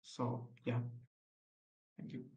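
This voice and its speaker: A man's voice: slow pace, darkish tone. A young man, a law student, introducing himself informally before a debate on a call. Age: young adult.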